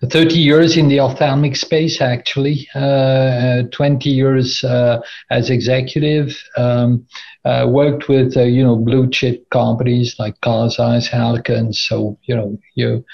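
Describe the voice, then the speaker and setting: A man's voice: medium pitch, steady tone, unhurried, gravelly, darkish tone. A man in his fifties from France, speaking English with a French accent as an interview guest on a video call from his office, often repeating words and laughing between phrases. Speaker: company CEO guest. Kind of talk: conversational interview. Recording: slightly dull video call audio.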